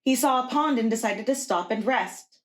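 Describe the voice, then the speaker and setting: A woman's voice: on the high side, moderate pace. A woman reading a picture book aloud to young children as a preschool teacher.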